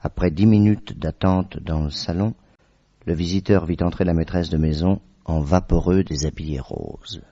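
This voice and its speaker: A man's voice: low voice, moderate pace, warm tone. A man narrating a scripted French radio drama as its storyteller. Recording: dull lo-fi radio recording.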